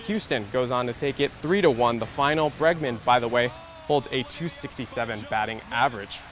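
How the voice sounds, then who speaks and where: A young American man in his twenties anchoring a scripted TV sportscast with game highlights. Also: fairly quick, a bit bright. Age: 20s.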